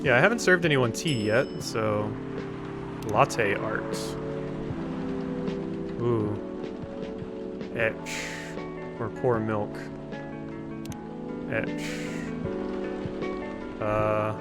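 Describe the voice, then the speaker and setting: A man doing casual let's play commentary, thinking out loud as he plays and reads a visual novel. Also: fairly low pitch, drawn-out words, long pauses. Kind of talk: casual game commentary, reading visual novel dialogue aloud. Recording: music behind the voice. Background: game music.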